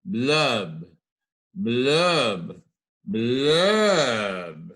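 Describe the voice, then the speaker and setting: A man's voice: medium pitch, sing-song, very slow, fairly bright tone, heavily acted. A man voicing a gloomy, pouting fish from a picture book, in a mournful, resigned sing-song. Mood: resigned, glum. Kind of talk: read-aloud picture book.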